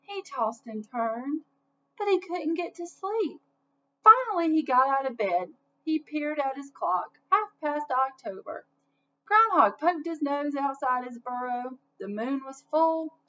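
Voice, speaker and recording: A slightly dull recording of a woman reading aloud to children. Her voice is high and she takes her time, narrating expressively as a storyteller. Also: a little slow. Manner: expressive, asks listeners questions. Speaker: storybook reader. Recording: dull lo-fi sound, faint noise.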